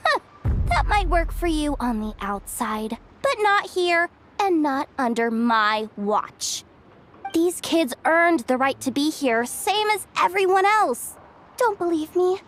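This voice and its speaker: A woman's voice: high-pitched, big pitch swings, slow pace, a bit bright, exaggerated voice. A woman voicing a spirited, cheeky young girl who likes to boast and keep order, in a video game. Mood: defiant. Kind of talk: video game character voice acting. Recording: music behind the voice.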